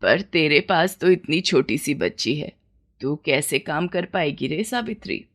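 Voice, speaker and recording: A woman voicing a rich, practical village mistress with a measured, matronly manner in a moral story. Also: sits low, lively intonation, pitched below the performer's own voice, heavily acted. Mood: businesslike. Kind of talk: dramatized Hindi moral story.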